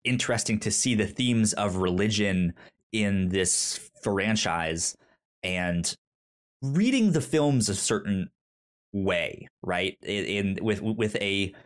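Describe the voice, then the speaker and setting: A podcast co-host speaks in a casual, conversational way about movies. The pace is slow, and the delivery is earnest and emphatic, with frequent stammers and repeated syllables. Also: low voice, animated. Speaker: podcast co-host discussing films. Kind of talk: casual conversational film discussion.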